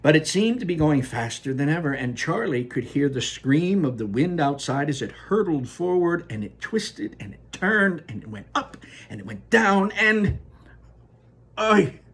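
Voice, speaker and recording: A man reading a children's book aloud in a lively, expressive way, adding asides of his own. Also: on the high side, moderate pace, hardly any pauses, slightly rough. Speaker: reader.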